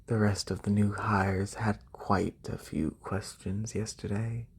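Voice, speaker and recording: Man playing a slow, breathy, haughty lamia noble. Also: on the low side, little pitch movement, full character voice. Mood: poised, condescending. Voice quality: breathy, husky. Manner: condescending, formal, drawn-out delivery. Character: haughty wealthy lamia noble, demanding serpent-bodied employer. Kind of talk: soft-spoken ASMR roleplay. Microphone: close binaural microphone.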